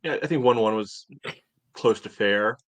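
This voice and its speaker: Low-voiced man in casual soccer talk. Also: no room sound. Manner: halting, peppers talk with "you know". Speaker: soccer podcast co-host and analyst. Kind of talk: casual sports talk, match breakdown.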